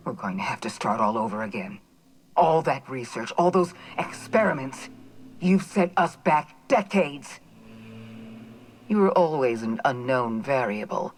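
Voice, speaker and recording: A cold, imperious corporate villainess from a video game. Her low voice is measured and condescending, with expressive swings in intonation. Faint background noise sits behind her. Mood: contemptuous menace. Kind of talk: dramatic video game dialogue.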